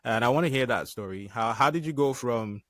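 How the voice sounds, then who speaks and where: An enthusiastic male podcast host, originally from Africa, introduces his guest and asks questions, often repeating words as he starts. His voice is mid-pitched and dry, recorded close with no room echo. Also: a little fast, fairly bright tone. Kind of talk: casual podcast hosting.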